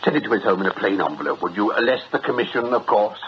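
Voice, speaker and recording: An actor playing a jovial, wily British swindlers' ringleader in a radio drama, with an ironic, chuckling, avuncular way of talking. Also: male voice, on the low side, animated, fast, lightly acted. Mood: amused, brisk. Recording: dull radio recording, faint noise.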